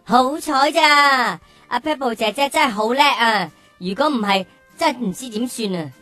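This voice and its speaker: Children's radio play: excitable young boy, high-pitched, forceful, innocent and keen. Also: normal speed, soft background music. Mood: defensive, relieved. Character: eager, naive little boy adventurer. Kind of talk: children's radio drama acting.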